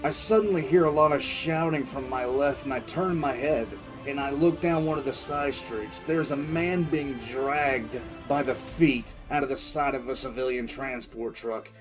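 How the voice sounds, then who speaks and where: A man's voice: medium pitch, normal speed, hardly any pauses, over music, close to an everyday voice. A man playing a grim, battle-weary military commissar, narrating dramatically in the first person for an audio drama. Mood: grim, sneering.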